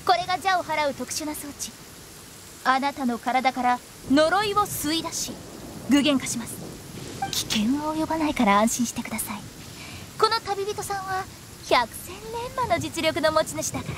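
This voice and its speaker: A woman's voice: high-pitched, noisy background. A woman playing a cheeky, theatrical young woman who loves pranks, in anime game style. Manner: playful, theatrical, teasing.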